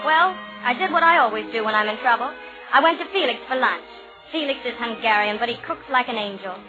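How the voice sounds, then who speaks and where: An actress playing a witty young columnist in a 1940s radio comedy. Her voice is high and exasperated, on a dull old recording with music behind it. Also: fast talker, close to an everyday voice. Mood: resigned. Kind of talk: 1940s radio acting, first-person comic narration.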